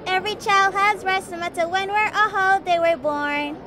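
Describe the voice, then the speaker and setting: A woman's voice: very high voice, fairly even intonation, moderate pace, sharp tone, some chatter behind. A woman playing a confident, know-it-all young Jamaican girl who explains things like a little teacher in a children's puppet show. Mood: confident, teasing.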